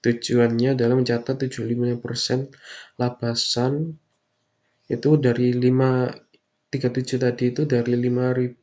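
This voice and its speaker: A young man giving an explanatory slide presentation in a stilted, halting, read-out manner. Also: mid-range voice, flat delivery, mellow. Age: young adult. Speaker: university student presenter.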